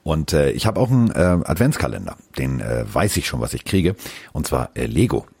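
A man's voice: rumbling low, very animated, brisk, gravelly, darkish tone, breathy edge, dry recording. A man co-hosting a casual podcast, talking in a blunt, slangy, teasing way. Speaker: podcast co-host.

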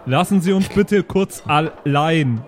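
Man as Norman, a cheeky high-voiced son. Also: takes it slowly. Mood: playful. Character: Norman, cheeky, easily amused son dining with his father. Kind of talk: improvised pen and paper audio play.

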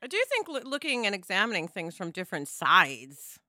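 A woman's voice: medium pitch, very animated, brisk, sharp tone, no echo, studio quality. A woman co-hosting a podcast, talking casually and emphatically, in an informal way. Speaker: podcast co-host. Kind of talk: casual conversational podcast talk.

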